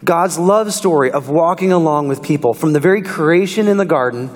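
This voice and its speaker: A male pastor preaches in a relaxed, conversational way, his voice slightly worn from singing. His voice sits a little high for a man, and it rings out with a long reverb through the church hall. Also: a little fast. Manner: casual, conversational preaching with fillers. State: strained voice from singing. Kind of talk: sermon. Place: church sanctuary.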